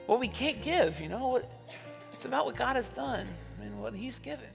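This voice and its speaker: A man acting as an earnest, gracious host of an old-time radio variety show on stage, speaking in a folksy way with halting pauses. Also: extremely high pitch, animated, vocal fry, music in the background, exaggerated voice. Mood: reflective, encouraging. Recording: reverberant hall stage sound.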